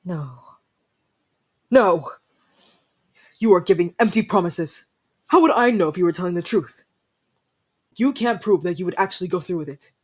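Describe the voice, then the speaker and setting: A man playing a haughty, easily flustered young winter prince in a fantasy ASMR roleplay, speaking formally and imperiously with a breathy voice. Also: high-pitched, lively intonation, moderate pace, pauses often. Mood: guarded, then angry, distrustful.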